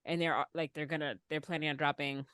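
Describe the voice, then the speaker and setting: A woman's voice: deep voice, level tone, fast talker, a bit bright, clear, resonant tone, no echo, studio quality. A woman hosting a podcast, chatting casually in an inquisitive, reactive way. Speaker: podcast host. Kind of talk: casual conversational chat.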